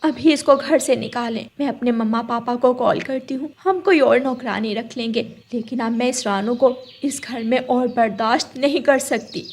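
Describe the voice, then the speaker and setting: A woman's voice: a little high, normal speed, few pauses. A woman playing a spoiled, bossy young daughter-in-law bird in a children's story, scolding and demanding. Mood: outraged.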